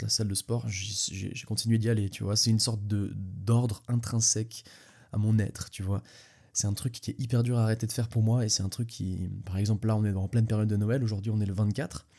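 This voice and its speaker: A man's voice: lowish voice, a little mellow. Young man with a head cold, rambling. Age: young adult. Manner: rambling, many restarts and fillers, addresses listener directly. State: congested with a cold, sniffling. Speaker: podcast host. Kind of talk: casual solo podcast talk.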